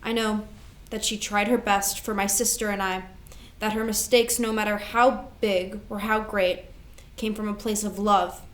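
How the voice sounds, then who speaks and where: A woman's voice: mid-range voice, flat delivery, unhurried, few pauses, fairly bright tone, lightly acted. A woman playing a responsible, composed elder sister grieving her mother in a staged play reading. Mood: solemn, measured.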